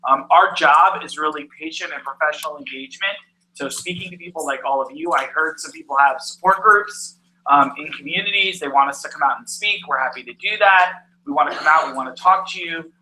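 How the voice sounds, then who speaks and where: A middle-aged man giving a direct, energetic talk to an audience at a patient event, with a naturally loud voice. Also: a little high, a little hiss or hum, poor audio. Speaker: patient access manager speaking at a patient event. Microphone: handheld or stand microphone.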